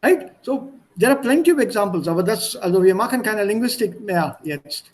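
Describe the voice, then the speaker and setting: Male language tutor, Indian accent, high-pitched voice, explains didactically and demonstrates by repetition. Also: lively intonation, a little fast. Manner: didactic, repeats and demonstrates sounds. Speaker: language teacher. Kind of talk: online language lesson.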